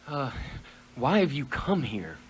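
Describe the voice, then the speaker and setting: A man playing a shaken miser confronted with his past in a radio play, halting and theatrical in delivery. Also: medium pitch, sing-song, husky, heavily acted. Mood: nervous, hesitant.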